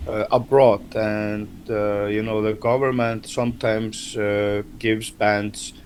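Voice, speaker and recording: A man from Iceland, Icelandic his first language, speaking English in a casual interview, soft-spoken and hesitant, often repeating words. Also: lowish voice, little pitch movement, slow pace, slight background noise. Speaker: band member, interview guest.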